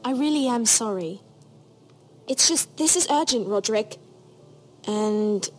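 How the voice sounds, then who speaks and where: A woman's voice: fairly high pitch, animated, measured pace. Teen noble girl from a fantasy game, super earnest and grave, kinda hesitant, bit of music under it. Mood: flustered, urgent. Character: earnest, grave teenage noble girl, troubled younger sister. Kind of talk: dramatic video game acting. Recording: music behind the voice.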